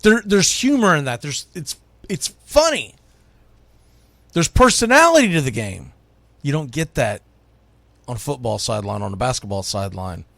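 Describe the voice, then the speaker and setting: A man's voice: medium pitch, sing-song, normal speed, vocal fry, pauses often, fairly bright tone, dry recording. A man from the United States hosting sports radio, talking casually and with firm opinions as he counts down a list, leaning on key words.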